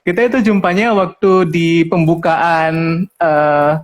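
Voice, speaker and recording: Young man, podcast host, chatty, laughs a lot, slightly high voice. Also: a little slow. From Medan, Indonesia. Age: 20s. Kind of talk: casual podcast chat.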